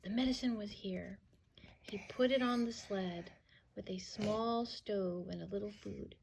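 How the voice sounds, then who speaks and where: A woman's voice: mid-range voice, a little slow, gravelly, a little mellow, a little hiss or hum. A woman reading a storybook aloud and chatting casually alongside a child.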